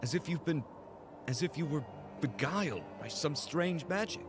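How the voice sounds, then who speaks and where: A man's voice: a little high, animated, moderate pace, over music, natural voice. A man voicing a stern, possessive royal fiancé. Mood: commanding. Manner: formal, stern and commanding. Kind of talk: game cutscene voice acting.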